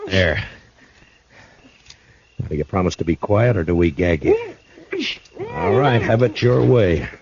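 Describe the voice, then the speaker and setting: An actor playing a stern frontier marshal who also narrates, in a 1950s radio drama, speaking in a measured, grave way. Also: male voice, low voice, huge pitch swings, a crowd in the background, heavily acted. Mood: firm. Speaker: narrator and lead. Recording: dull vintage radio recording.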